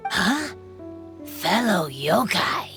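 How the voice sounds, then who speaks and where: A woman voicing a mysterious, aloof female yokai in a video game, speaking in a guarded, measured way. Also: medium pitch, very animated, music in the background, exaggerated voice. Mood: startled, distressed. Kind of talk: video game character acting.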